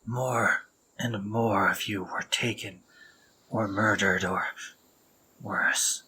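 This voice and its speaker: A young man playing a remorseful, protective male spider monster in an audio roleplay, talking haltingly with stammers and soft whispers. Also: lowish voice, slight background noise, rough recording.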